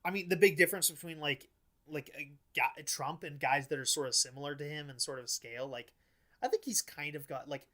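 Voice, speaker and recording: A man hosting a casual political podcast, talking in a halting, rambling way with lots of filler words and some swearing. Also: on the high side. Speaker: podcast host.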